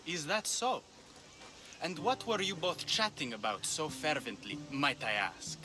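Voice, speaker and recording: An actor playing a menacing enforcer of an ancient priesthood in a video game, smugly polite and threatening. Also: male voice, on the high side, very animated, a little slow, music in the background. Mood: mock-polite. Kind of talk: video game drama acting.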